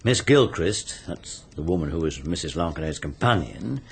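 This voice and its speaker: An actor playing a practical, matter-of-fact English police inspector making official inquiries in a radio mystery drama. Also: male voice, low-pitched, very animated, normal speed, gravelly, husky, exaggerated voice. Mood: practical, inquiring.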